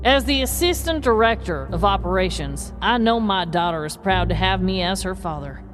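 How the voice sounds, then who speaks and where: Woman playing a smug, falsely warm male director. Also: mid-range voice, natural voice. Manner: pompous, drawn-out, insincerely friendly. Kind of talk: acted comedic audio story.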